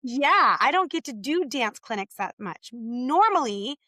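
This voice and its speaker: A woman with a slightly raspy, overused voice, chatting enthusiastically on a podcast as co-host. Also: high voice, big pitch swings, measured pace, sharp tone, dry recording, clean studio sound. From California. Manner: friendly, enthusiastic, rambling. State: losing her voice from overuse. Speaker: podcast co-host, rules director. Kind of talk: casual podcast chat.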